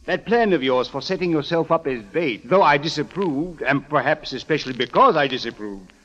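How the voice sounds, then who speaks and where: An actor playing an authoritative, loyal, somewhat exasperated police captain, speaking formally and precisely in old radio drama style. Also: male voice, on the high side, lively intonation, fairly quick, a bit bright. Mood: dry, resigned. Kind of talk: 1940s-50s radio drama acting. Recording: muffled, reverberant old radio recording.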